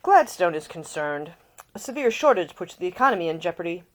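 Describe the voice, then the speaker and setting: A woman voicing a composed, probing older gentleman, an uncle and lord who speaks formally and deliberately in an audiobook story. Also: fairly low pitch, big pitch swings. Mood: grave, formal.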